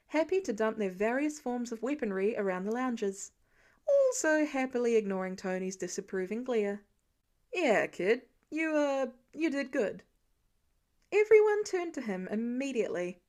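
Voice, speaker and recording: A woman with a high-pitched voice narrates a piece of fiction. She reads the scripted prose expressively, with a wry storytelling touch. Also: expressive, moderate pace, a little mellow. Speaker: amateur audiobook narrator.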